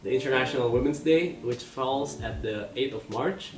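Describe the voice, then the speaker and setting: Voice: mid-range voice, normal speed. Conversational interview host, mid-range voice, roomy distant recording. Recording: echoey room, distant mic, background music.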